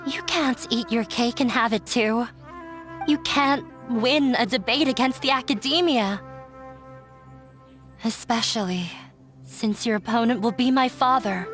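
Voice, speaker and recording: A weary, hurt young daughter. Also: female voice, fairly high pitch. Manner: quiet, resigned storytelling. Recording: game audio with faint music.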